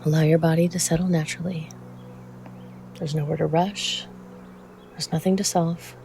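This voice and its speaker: Mellow, creaky-voiced meditation guide. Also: medium pitch, flat delivery, slight background noise. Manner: soothing, measured, with frequent pauses.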